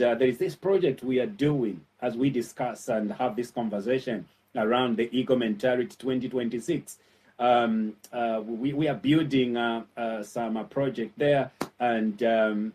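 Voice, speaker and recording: A man with a Zambian accent giving an earnest, encouraging motivational talk as a pastor, with frequent filled pauses and points repeated for emphasis. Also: mid-range voice, warm tone, far from the mic.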